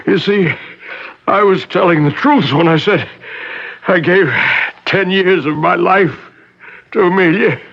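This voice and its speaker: Creaky, high-pitched theatrical professor. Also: male voice, measured pace, pauses often, full character voice. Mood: dying, weak. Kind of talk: old-time radio drama acting. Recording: dull old radio recording.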